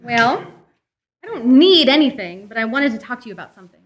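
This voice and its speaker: A woman's voice: fairly high pitch, sing-song, a little fast. A woman acting a polite, uneasy character in an old time radio style variety show. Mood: polite, hesitant. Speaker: performer in a live variety show. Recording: live recording with faint noise.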